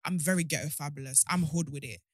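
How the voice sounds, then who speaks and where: A woman's voice: sits low, fast talker, slightly rough, no room sound, clean studio sound. A young woman, talking openly and outspokenly as a guest on a podcast, often jumping from one point to the next. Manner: candid, outspoken, jumps between points. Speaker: guest, show host. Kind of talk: casual podcast chat.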